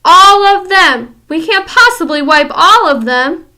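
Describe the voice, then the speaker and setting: Storybook bit part: a cowering witch pleading for her life, voiced by a woman, high and bright. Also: lively intonation, unhurried, exaggerated voice. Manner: pleading, trembling. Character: terrified female witch begging for mercy.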